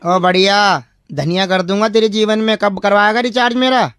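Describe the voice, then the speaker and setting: A man's voice: sits high, steady tone, normal speed, fairly bright tone, clear, resonant tone, no room sound, clean studio sound. A man in a casual phone quarrel, speaking in a combative, taunting way. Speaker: phone caller. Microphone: phone call. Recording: noisy phone call.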